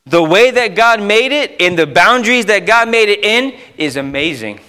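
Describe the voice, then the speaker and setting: A male youth pastor delivers an informal sermon in a fairly high, bright voice. He leans on repeated phrases for emphasis and breaks off into chatty asides to the people listening. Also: lively intonation, normal speed, clean tone. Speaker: youth pastor preaching to a congregation. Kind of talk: informal sermon with scripture quotation and exposition.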